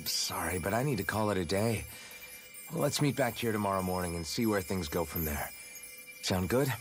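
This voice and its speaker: An actor playing a calm, level-headed male warrior in a video game, plain and understated. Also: low voice, normal speed. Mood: weary. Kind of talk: video game cutscene acting. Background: music.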